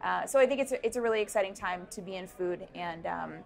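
A woman's voice: medium pitch, fast talker, vocal fry. A young woman, a founder, answering interview questions at an indoor event with a lapel mic, thoughtful and full of ums and restarts. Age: young adult. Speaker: startup founder. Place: busy indoor networking event.

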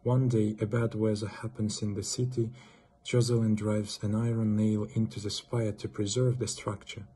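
A man's voice: lowish voice, little pitch movement, normal speed, warm tone, husky. A young man giving a scripted book summary as a student presenter. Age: young adult.

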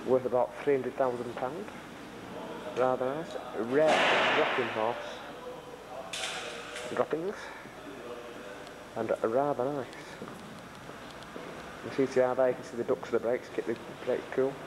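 A man's voice: medium pitch, steady tone, measured pace, pauses often. A young man from the United Kingdom giving a casual, chatty commentary while filming a tour of a car workshop on a camcorder. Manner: chatty, pointing things out with dry humour. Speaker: visitor filming a factory tour. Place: large indoor car workshop. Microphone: handheld camcorder mic. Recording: loud workshop noise behind. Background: workshop and engine noise.

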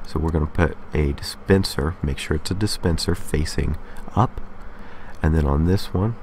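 Very deep-voiced man giving a tutorial. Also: moderate pace, a little mellow, a little hiss or hum. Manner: methodical, explanatory, checks in with "okay". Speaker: tutorial host. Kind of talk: casual step-by-step game building tutorial.

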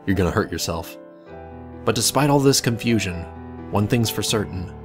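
A man narrates a fan fiction story in a low-pitched voice. He tells it dramatically, as a storyteller addressing the listener, while music plays clearly behind him. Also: animated, normal speed. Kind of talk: scripted narration of fan fiction in second person.

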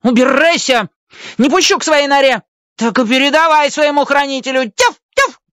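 A man's voice: animated, moderate pace, fairly bright tone, no room sound, clean studio sound. A man voicing a frantic, furious fox guarding its burrow in a children's audio story, snapping and yapping. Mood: furious, hostile. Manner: shrill, snapping, yapping outbursts.

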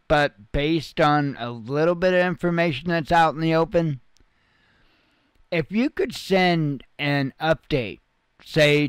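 A man's voice: a little high, clean tone, dry recording. A man giving informal news commentary as a channel host, explaining things in a folksy, plain-spoken way.

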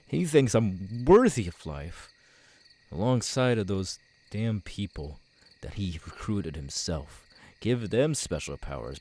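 A man plays a tormented immortal killer in an ASMR audio drama. The voice is very low and rough, with slow, brooding, bitter delivery that swings widely in pitch. He sounds menacing and on the edge of madness. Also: exaggerated voice. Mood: angry, aggravated. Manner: halting, brooding, bursting into anguished shouts. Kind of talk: ASMR audio roleplay acting.

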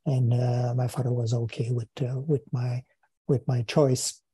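An older man from Quebec with a Quebec French accent, a native French speaker, answering interview questions over a video call from his study, thoughtful and halting, often repeating words. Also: medium pitch, little pitch movement, dark tone, husky, dry recording. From Quebec, Canada. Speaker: guest philosopher. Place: home study with bookshelves. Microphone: earphone mic on video call.

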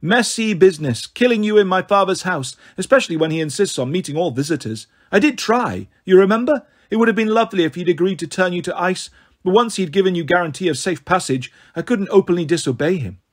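A man acts out a cold snow goddess from a fantasy storybook. The voice sits high and stays soft, gentle and purring. She is haughty and condescending, taunting with cruel, silky smugness. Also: animated, fast talker. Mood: gloating, condescending. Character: beautiful cold snow goddess, haughty, sadistically smug villainess.

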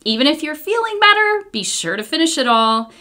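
A woman's voice: high voice, very animated, fairly quick, pushed and bright, pitched above the performer's own voice, heavily acted. An American woman voicing a cheerful, caring pharmacist in a children's storybook, upbeat and instructive.

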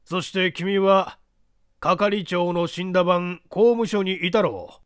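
Man acting out audiobook judge, probing, condescending, slow deliberate delivery, higher-pitched, dry studio sound. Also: a bit bright, clean tone, no echo. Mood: smugly genial. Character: smug, probing male judge interrogating a suspect. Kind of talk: dramatic audiobook character reading.

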